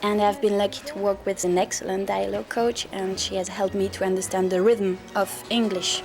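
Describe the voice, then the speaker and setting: A woman's voice: mid-range voice, level tone, brisk, vocal fry, music in the background. Earnest woman with a French accent. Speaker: actress. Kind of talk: interview.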